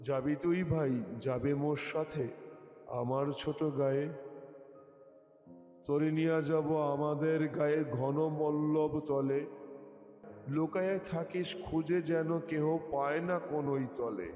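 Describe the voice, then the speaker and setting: A man's voice: fairly high pitch, fairly even intonation, unhurried, pauses often. A man reciting a poem expressively, as a poetry reciter does, over music. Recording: dull, echoey with long reverb.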